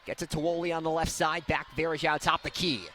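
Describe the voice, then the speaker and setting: A young man calling live basketball play-by-play as a radio announcer, with an excitable delivery that swells on big plays. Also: a little high, brisk, a little hiss or hum. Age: young adult. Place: courtside at indoor basketball game.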